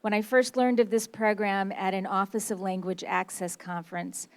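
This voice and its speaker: A woman's voice: mid-range voice, a little mellow. A woman who directs government relations, politely reading a prepared speech aloud on someone else's behalf and stumbling slightly over names.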